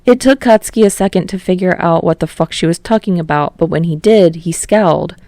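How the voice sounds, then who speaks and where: A woman's voice: lowish voice, a little fast, warm tone, dry recording. A woman reading a story aloud as scripted audiobook narration. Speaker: audiobook narrator of fan fiction.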